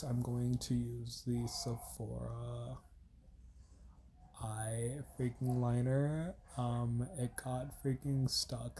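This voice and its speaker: A man's voice: medium pitch, mellow, a little hiss or hum. A young man with a congested, stuffy voice, rambling casually through a makeup tutorial voiceover with many "like" fillers. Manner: rambling, heavy use of filler "like". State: congested from a cold. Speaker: beauty vlogger.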